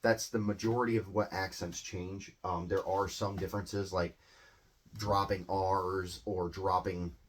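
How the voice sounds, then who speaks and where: A man gives an unscripted English lesson in a low-pitched voice. He rambles, fills pauses with ums and uhs, and restarts his sentences. The tone stays loose and conversational, like a casual explainer video. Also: level tone. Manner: rambling, filler-heavy, self-correcting. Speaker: English teacher.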